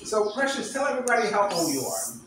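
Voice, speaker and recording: A man hosts a playful, teasing interview, often halting on his fillers. His voice sits high for a man. He is recorded from a distance in a very echoey room. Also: sing-song, background noise. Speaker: host. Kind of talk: casual mock late-night interview. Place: room with a fan running.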